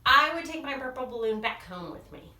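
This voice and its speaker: A small storybook monster child, anxious and imaginative, spills out one what-if after another in a lively, bright, slightly raised voice, as a woman reads it aloud. The sound is roomy and echoey, with the voice a little distant from the mic. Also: high voice, brisk, heavily acted. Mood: eager. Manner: eager, tumbling run-on questions.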